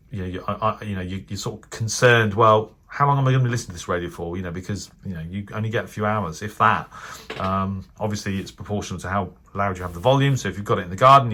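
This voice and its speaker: A British man giving an unscripted product review as a hobbyist host, speaking in a frank, hesitant and measured way. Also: low voice, bright, forceful tone. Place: indoors, off camera at a desk.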